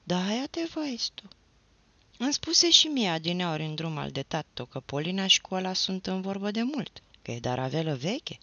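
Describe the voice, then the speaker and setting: Man doing an older peasant dad, hushed and wry, gravelly voice, dull dry recording. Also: high-pitched, big pitch swings, no room sound, pitched above the performer's own voice, exaggerated voice.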